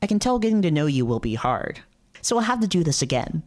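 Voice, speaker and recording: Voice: medium pitch, big pitch swings, quick pace, darkish tone, pitched above the performer's own voice, natural voice. A streamer as a polite, guarded prince. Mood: courteous, guarded. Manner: formal, courteous.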